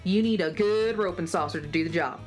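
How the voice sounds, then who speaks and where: A woman's voice: on the low side, a little fast, soft background music, lightly acted. A woman playing a kindly, teasing cowgirl ranch boss in a children's radio drama, talking in folksy cowboy slang. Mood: folksy, instructive.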